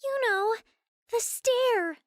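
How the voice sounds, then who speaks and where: A woman plays a gentle, shy young cartoon pegasus mare. The voice is very high and halting, softly earnest, and recorded dry and close. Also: no echo, exaggerated voice. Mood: hesitant, earnest.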